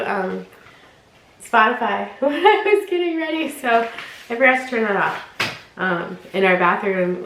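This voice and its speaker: A woman's voice: on the high side, fairly bright tone, roomy sound, a little hiss or hum, rough recording. A young woman talking casually in her vlog, bubbly and rambling and laughing often, her nose stuffed up from allergies. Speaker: fashion unboxing vlogger.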